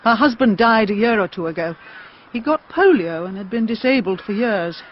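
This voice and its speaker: An actress with an English accent playing a frank, rueful middle-aged mystery writer, musing aloud in a radio drama. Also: female voice, medium pitch, animated, moderate pace, natural voice. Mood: wry, frank. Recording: dull, noisy radio drama recording.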